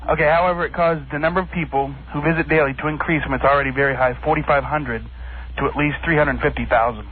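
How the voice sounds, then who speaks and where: A male radio caller on a thin phone line, with a slightly high voice. He speaks in nervous, halting bursts that come out quickly, over faint noise. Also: fairly even intonation. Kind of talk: casual phone-in talk.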